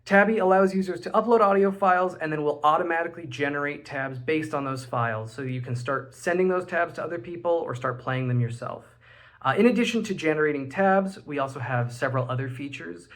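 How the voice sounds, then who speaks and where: A young man with an American accent presents a project in an easygoing, informal way. His voice sits slightly high for a man, picked up by a webcam in a small room at home. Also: brisk, a bit bright. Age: 20s.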